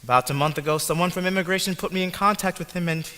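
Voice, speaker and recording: Man as an earnest, polite young newcomer; bright, fairly high voice; hall reverb, faint noise. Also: fast. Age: young adult. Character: young Cuban immigrant man, polite and lost. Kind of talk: student stage play.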